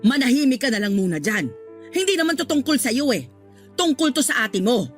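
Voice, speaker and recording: Casting: strict middle-aged Filipino mom, excitable, highish voice with big swings, music underneath. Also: female voice, a little fast. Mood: angry scolding. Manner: scolding, blunt, excitable. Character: strict, hot-tempered Filipino mother and new grandmother. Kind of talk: radio drama acting.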